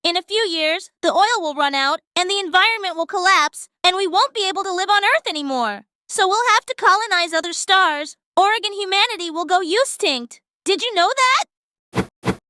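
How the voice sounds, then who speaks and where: A woman's voice: very high-pitched, expressive, normal speed, bright, forceful tone, no room sound, clean studio sound, exaggerated voice. A woman voicing a chatty, self-assured schoolgirl in a manga dub. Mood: bubbly, matter-of-fact.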